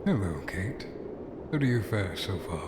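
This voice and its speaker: A man playing a courteous, probing Victorian gentleman in an audio drama. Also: low voice, very animated, a little slow. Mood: gently probing. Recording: echoey, with heavy ambient noise.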